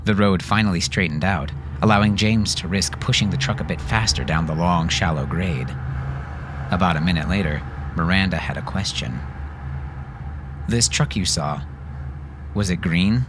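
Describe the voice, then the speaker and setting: Low-voiced male audiobook narrator. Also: normal speed, background noise. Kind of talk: dramatic scripted fiction narration.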